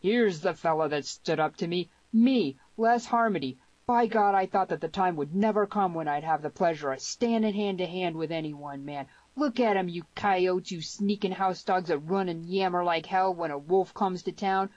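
A woman voicing a huge, rough, loud frontier brawler in an audiobook Western, fierce but fair, blustering and emphatic. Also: on the low side, animated, normal speed, no room sound, dull audio, natural voice. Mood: fierce, boastful. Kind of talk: dramatised audiobook dialogue in Western dialect.

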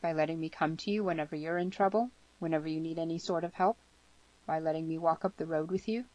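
A woman voicing a grave, earnest young man in an audiobook, speaking gently and solemnly. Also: deep voice, steady tone, natural voice. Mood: shy, earnest. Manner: slow, gentle, solemn, plain-spoken. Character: grave, earnest, gentle twenty-two-year-old man. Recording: slightly muffled lo-fi audio.

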